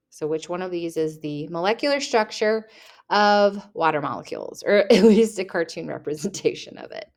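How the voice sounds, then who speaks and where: Playful teacher woman reading test questions. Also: mid-range voice. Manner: reads each question, then rephrases it playfully. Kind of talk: reading a test aloud to students.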